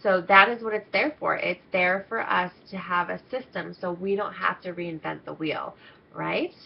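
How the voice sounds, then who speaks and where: A woman's voice: fairly low pitch, steady tone, normal speed, gravelly, slightly rough. Congested nasal woman coaching her team. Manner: upbeat, chatty, many ums. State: congested, coming down with a cold. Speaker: team coach leading an internship. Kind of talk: casual video training talk. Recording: slightly dull lo-fi audio.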